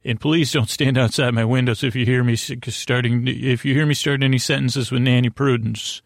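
A man with a creaky voice hosting a podcast in a soothing, long-winded bedtime monologue that meanders and interrupts itself. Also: medium pitch, steady tone, normal speed, no echo, studio quality. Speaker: podcast host.

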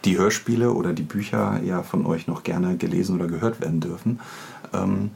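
A man's voice: deep voice, fairly quick, gravelly, a little mellow, slight background noise. A man hosting a casual podcast interview, feeling his way through questions with hesitant phrasing.

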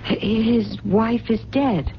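A dull, slightly noisy old radio recording of a needy, lovestruck woman. Her voice is fairly high, eager and insistent, with halting phrases in radio-drama style. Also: steady tone. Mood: curious, anxious. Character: lovestruck, needy, stubborn woman smitten with a fugitive. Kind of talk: late-1970s radio drama acting.

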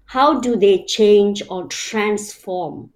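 A woman's voice: mid-range voice, extremely slow, darkish tone. Reflective woman from Malaysia. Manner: reflective, explains in numbered steps with many "you know" fillers. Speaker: guest. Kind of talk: casual interview talk.

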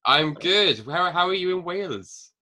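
A man's voice: high voice, expressive, a little slow, sharp tone. Upbeat, teasing male podcast host. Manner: upbeat, teasing, friendly questions. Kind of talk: casual interview chat.

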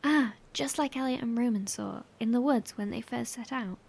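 An actress playing a calm, reassuring woman who takes down a survivor's testimony in a horror audio drama, gentle and encouraging. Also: a little high, normal speed, vocal fry, slightly rough. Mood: gently encouraging.

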